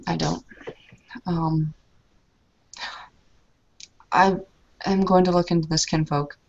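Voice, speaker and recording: Female roleplayer, low and creaky, terse and bitter delivery; muffled home recording. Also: fairly even intonation, slow. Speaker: tabletop roleplayer. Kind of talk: in-character roleplay chat.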